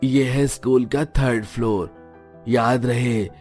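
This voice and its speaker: A man's voice: mid-range voice, steady tone, slow, darkish tone, soft background music, lightly acted. A man playing a stern school attendant in a cartoon story, speaking firmly and instructively. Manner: firm, instructive. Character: stern male school peon giving warnings. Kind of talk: Hindi cartoon story dialogue.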